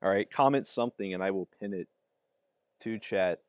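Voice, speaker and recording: A man's voice: deep voice, normal speed, darkish tone, clear, resonant tone, no echo, muffled recording. A man giving casual, off-the-cuff reaction commentary as a livestreamer. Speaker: livestreamer reacting to fan-made videos.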